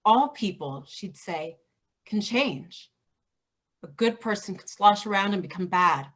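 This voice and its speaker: A woman's voice: medium pitch, animated, a little slow, fairly bright tone, slightly rough. A woman reading the part of a weary, earnest woman fighter in a staged play reading. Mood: reflective. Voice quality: earnest, reflective delivery.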